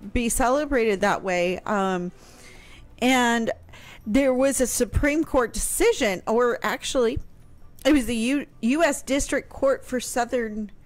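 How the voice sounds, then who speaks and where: A woman's voice: fairly high pitch, a little slow, soft background music. A middle-aged American woman in a casual radio discussion, speaking as the show's historian into a close microphone. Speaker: historian and talk show guest expert. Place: home room, indoors. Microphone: close studio mic on boom arm, headphones.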